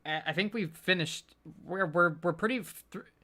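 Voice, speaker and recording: A host chatting casually on a live stream, halting and hesitant, often repeating words before getting to the point. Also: mid-range voice, sharp tone. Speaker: panel host.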